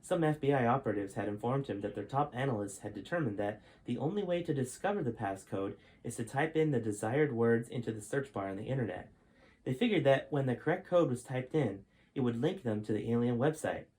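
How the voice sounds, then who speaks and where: A man's voice: mid-range voice, expressive, a little fast, a little mellow, rough recording. A man reading his own adventure book aloud as a storyteller, in scripted narration.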